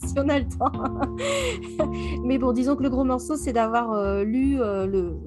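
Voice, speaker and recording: A woman in relaxed, informal fan discussion as a book club co-host, speaking slangily. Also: fairly high pitch, normal speed, music in the background. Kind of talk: casual chat, fan discussion.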